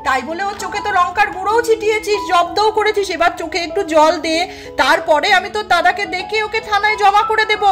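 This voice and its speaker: Woman playing a fussing, very high-pitched village mother. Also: quick pace, over music. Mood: firm, kindly. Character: mother, flustered but fair-minded village housewife. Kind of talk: doll-play storytelling.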